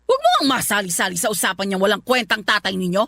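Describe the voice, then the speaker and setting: A woman's voice: medium pitch, expressive, very fast, gravelly, sharp tone, no room sound, clean studio sound, full character voice. A woman with a Filipino accent playing a stern, bitter middle-aged mother in a radio drama, scolding and domineering. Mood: angry scolding. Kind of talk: Tagalog radio drama acting.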